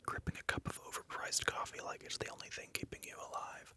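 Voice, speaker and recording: A man playing a smug, teasing, telepathic young male classmate in an ASMR roleplay, with a husky, breathy, often whispered voice. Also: pushed and bright. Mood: knowing, teasing. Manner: smug, teasing, drawn-out knowing remarks.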